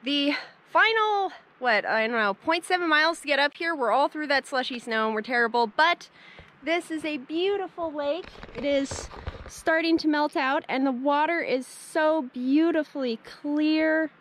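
Young woman hiking vlogger with a high voice, chatty and enthusiastic, recorded outdoors on a handheld camera. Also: normal speed, fairly bright tone. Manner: enthusiastic, chatty, thinking aloud. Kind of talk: casual on-trail narration. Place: outdoors by a snowy mountain lake. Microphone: handheld camera at arm's length.

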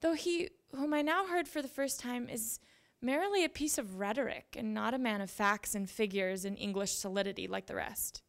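A young woman giving a dramatic reading of old letters at a lectern, with measured, deliberate phrasing. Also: a little high, gravelly. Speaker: reader at a literary event. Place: library event room with seated audience. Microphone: handheld microphone at a lectern.

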